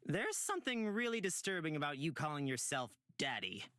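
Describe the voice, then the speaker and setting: A man's voice: fairly high pitch, big pitch swings, brisk, bright, forceful tone, dry recording, studio quality. A man playing an earnest, protective, trusting young character in a fantasy visual novel. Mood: defensive.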